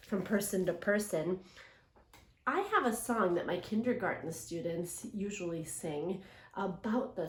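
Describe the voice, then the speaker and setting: A woman teacher leading a read-aloud lesson for young children, asking questions and pausing to affirm their answers. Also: medium pitch. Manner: interactive, asks questions and affirms imagined answers. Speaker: elementary English language development teacher. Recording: roomy, echoing sound.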